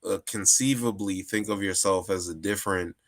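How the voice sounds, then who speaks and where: A man's voice: deep voice, fairly even intonation, moderate pace. A man in a casual discussion, often restarting and repeating words as he builds his argument. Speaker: guest.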